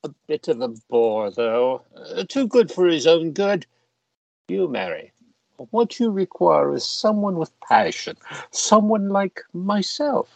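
A man acting a jovial, lecherous Victorian member of Parliament in a radio play, pompous and theatrical with flirtatious, drawn-out phrasing. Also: fairly high pitch, big pitch swings, creaky, dry recording, full character voice, poor audio. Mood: smug, flirtatious.

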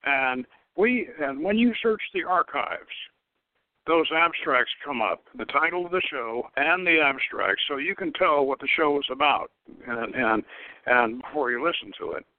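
A man's voice: a little high, lively intonation, no echo. Older male talk-show host, phone-quality sound, rambling and hesitant, word repetitions, solo unscripted delivery. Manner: halting, rambling, repeats words and stalls often. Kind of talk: unscripted solo talk, recapping a story and announcing next episode. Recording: thin phone-line audio.

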